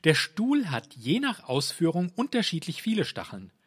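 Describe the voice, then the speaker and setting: A man playing a slick, obsequious merchant who flatters royalty in a comic audio drama, fawning and theatrical like a salesman. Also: fairly high pitch, moderate pace, slightly rough, full character voice. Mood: flattering.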